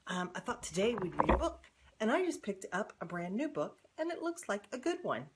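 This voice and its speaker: A woman's voice: mid-range voice, fairly quick, heavy background noise. An older woman, a grandmother, reading a children's storybook aloud expressively and speaking directly to young children. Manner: addresses young children directly, simple animated delivery.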